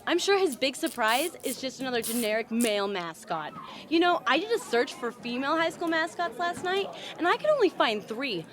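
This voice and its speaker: A woman playing a brash, self-assured high school girl, boastful and easily indignant. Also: sing-song, fairly quick, fairly bright tone.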